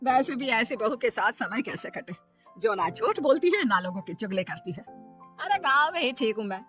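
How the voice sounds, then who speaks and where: A woman's voice: on the high side, very animated, normal speed, a bit bright, soft background music. A woman as a scolding older village mother-in-law. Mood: sulky.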